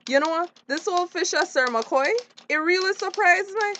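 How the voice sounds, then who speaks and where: A woman's voice: very high voice, animated, moderate pace, pushed and bright, slight background noise, heavily acted. A woman acting a friendly, eager young community project worker in a radio drama, upbeat and chatty with a Caribbean accent. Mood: upbeat, curious.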